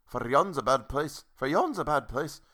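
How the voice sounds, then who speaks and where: A man's voice: on the high side, expressive, moderate pace, pushed and bright, pitched above the performer's own voice. A man voicing a fellow who gives grim warnings with a piratical drawl. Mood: foreboding. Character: rustic British man giving grim warnings.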